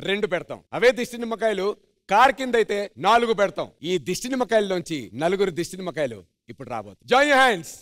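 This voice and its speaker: A man's voice: high-pitched, big pitch swings, quick pace, no room sound, clean studio sound. A man hosting a dance show with theatrical, teasing comic banter. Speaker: host. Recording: loud music behind. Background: loud music.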